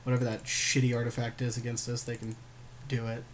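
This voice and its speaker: A man's voice: medium pitch, monotone, a little fast, a little hiss or hum. A man co-hosting a podcast, chatting casually about a card game in loose, colloquial, sometimes profane language. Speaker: podcast co-host. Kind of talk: casual chat while drafting a card game.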